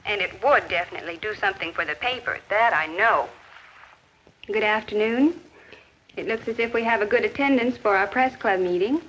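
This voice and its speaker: A dull, noisy old film soundtrack carries an actress as an earnest woman teacher. She speaks in a measured, formal way at a middle pitch, with caring concern, in 1950s classroom-film style. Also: a bit bright, lightly acted. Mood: pensive. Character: earnest, caring female high school teacher. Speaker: actor in an educational film. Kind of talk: 1950s educational film acting with inner-thought narration.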